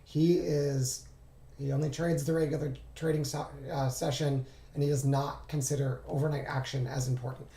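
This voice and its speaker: A man speaks in a hoarse, gravelly voice with a soft, mellow tone, as if his voice is only just returning. He teaches informally in a halting, think-aloud way, talking through a shared screen. The recording has a noticeable room echo. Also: fairly high pitch, level tone. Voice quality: raspy. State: hoarse, recovering from laryngitis. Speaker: trading instructor reviewing charts. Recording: roomy, echoing voice recording.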